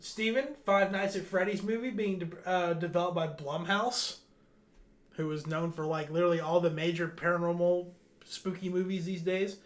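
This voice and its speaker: A man's voice: sits high, steady tone, normal speed. A man hosting a casual panel chat, running through news items in an informal way. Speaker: show host. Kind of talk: casual news roundup chat among friends.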